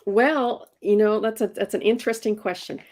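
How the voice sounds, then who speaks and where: A woman's voice: mid-range voice, steady tone, moderate pace, a little mellow. A woman in her sixties, a comics inker, talking casually in an interview and often restarting her words. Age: 60s. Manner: chatty, repeats words when restarting.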